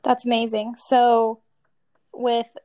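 A woman's voice: a little high, completely flat delivery, takes it slowly, warm tone, slight background noise. A woman co-hosting a podcast, politely putting questions to a guest. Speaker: podcast co-host, photographer. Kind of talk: interviewing a guest.

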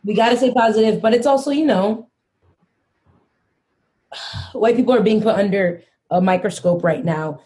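A woman's voice: medium pitch, dark tone. Earnest woman podcast host. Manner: earnest, measured, slows down to stress points. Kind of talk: casual podcast discussion.

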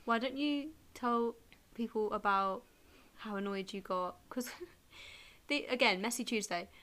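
A woman's voice: a little high, a little slow, fairly bright tone. A young woman, chatting on a podcast in a rambling way full of fillers. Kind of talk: casual chat.

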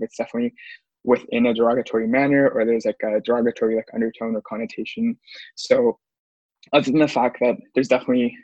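A man speaking quickly and hesitantly in a casual discussion, full of fillers and restarts. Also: mid-range voice, dry recording. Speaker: panel speaker. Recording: dull, dry recording.

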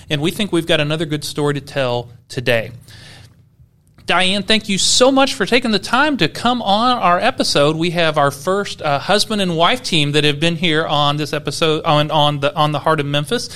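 A man's voice: fairly high pitch, brisk, sharp tone. A man hosting a podcast, chatty and upbeat as he welcomes and questions his guest. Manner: upbeat, chatty with some restarts. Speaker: podcast host.

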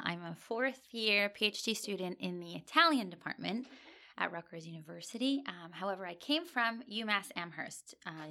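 A woman's voice: medium pitch, sharp tone. A young woman speaking as a graduate student guest, giving thoughtful answers with frequent fillers and restarts. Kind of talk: conversational interview answers.

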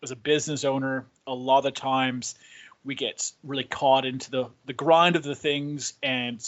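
A man's voice: mid-range voice, little pitch movement, moderate pace, a bit bright, muffled recording. A man hosting a podcast, introducing and interviewing in an enthusiastic, conversational way. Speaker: podcast host. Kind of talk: scripted-sounding podcast intro and interview chat.

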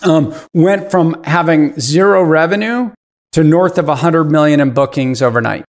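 A man's voice: a little high, normal speed. A man in his fifties, a podcast guest explaining his business in casual interview talk. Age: 50s.

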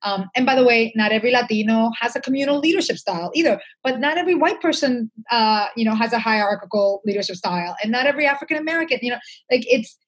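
Earnest woman guest with a husky edge. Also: a little high, animated, quick pace, few pauses, rough recording. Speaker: interview guest. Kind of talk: conversational interview answers.

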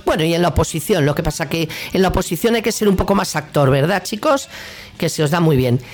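A woman's voice: fairly low pitch, animated, quick pace, a little mellow. A woman with a Castilian Spanish accent hosting a radio show, delivering a political opinion monologue in an indignant, sarcastic, colloquial way.

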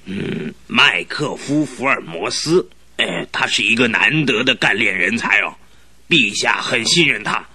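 An actor playing an excitable, crafty old duke in his seventies who stammers and exclaims in a radio play. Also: lowish voice, unhurried, full character voice. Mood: grateful, curious. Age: 70s. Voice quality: flustered, full of exclamations and stammering. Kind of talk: 1970s Chinese radio drama acting.

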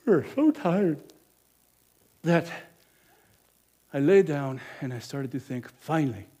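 A man performing a greedy, self-justifying innkeeper in a first-person monologue, swinging between loud bluster and hushed confession. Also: a little high, animated, slow, breathy edge, exaggerated voice. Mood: guilty. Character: greedy self-justifying male Bethlehem innkeeper, middle-aged. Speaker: storyteller performing a character monologue.

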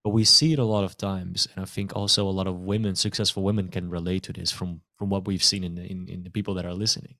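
A man's voice: low-pitched, level tone, fast talker, hardly any pauses, pushed and bright, no room sound, studio quality. A man hosting a podcast interview, keen and chatty, often restarting his sentences and filling them with "you know". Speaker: podcast host.

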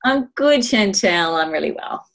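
Aussie woman in her 50s, casual podcast host chat, medium pitched voice. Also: lively intonation, measured pace, creaky, a bit bright. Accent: Australian. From Melbourne, Australia.

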